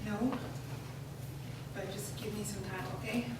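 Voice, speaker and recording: A woman playing a troubled, defiant fourteen-year-old girl with a halting delivery in a staged drama reading. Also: mid-range voice, fairly even intonation, natural voice. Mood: withdrawn, quiet. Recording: echoing room, distant mic, clear background noise.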